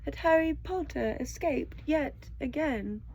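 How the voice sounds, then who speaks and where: Storybook house elf, played by a woman: small, male, high-voiced, anxious, reverent, pleading servant. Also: animated, slow, gravelly, a little mellow, heavily acted, poor audio. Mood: tearful, earnest. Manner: reverent, pleading, refers to himself in third person. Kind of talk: storybook character voice.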